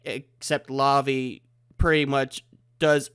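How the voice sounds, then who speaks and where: A man's voice: mid-range voice, little pitch movement, takes it slowly, a bit bright, smooth. A man hosting a casual podcast chat over the internet. He sounds congested from a head cold and talks eagerly, often trailing off mid-thought. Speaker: podcast host. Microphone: remote recording over the internet.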